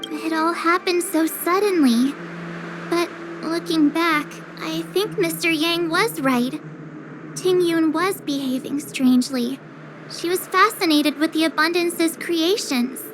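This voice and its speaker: An actress voicing a lively, curious young woman in a game, talking quickly with many questions. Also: very high voice, over music, rough recording. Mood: reflective. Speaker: game character. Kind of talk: game dialogue voice acting.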